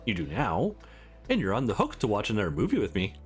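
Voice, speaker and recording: A man's voice: fairly low pitch, huge pitch swings, fast, faint music behind, voice pushed down. A man playing an easygoing, sincere male visual novel protagonist in a friendly, lightly teasing way. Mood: encouraging.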